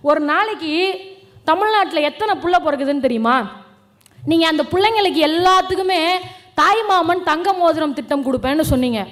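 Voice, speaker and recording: Young woman, very high voice, Tamil Nadu accent, fiery political speech, colloquial, combative, rhetorical questions. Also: expressive, a little fast, a bit bright. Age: young adult. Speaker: political speaker.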